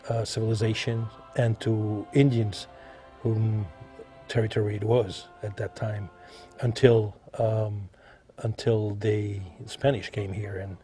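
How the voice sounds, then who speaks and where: Low, mellow Frenchman speaking haltingly. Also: level tone, faint music behind. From France. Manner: halting, searching for words, repeats himself. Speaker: visual artist being interviewed. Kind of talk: conversational interview answers.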